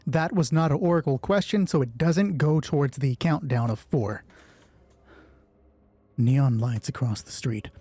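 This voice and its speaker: Slow, dark-voiced man narrating a game. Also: mid-range voice, dry recording. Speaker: solo roleplay host and game master. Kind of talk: dramatic narration of a tabletop game.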